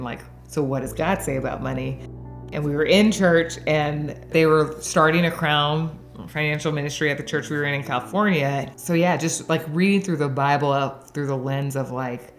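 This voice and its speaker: Woman, low voice, chatty and laughing, faith testimony, faint music behind. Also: gravelly. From Dallas area, Texas. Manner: chatty, laughs often, many "like" fillers. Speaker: interviewee.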